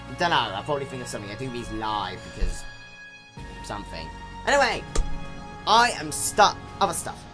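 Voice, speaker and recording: Man rambling with wildly swinging pitch. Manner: rambling, mock-grandiose, exaggerated emphasis. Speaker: fan fiction reader and reviewer. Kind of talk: unscripted casual review.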